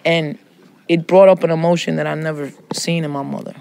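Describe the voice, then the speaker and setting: A man's voice: on the high side, fairly even intonation, a little fast, vocal fry, a little mellow, a little hiss or hum. A man speaking candidly and personally in a conversational discussion. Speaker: prison poetry student.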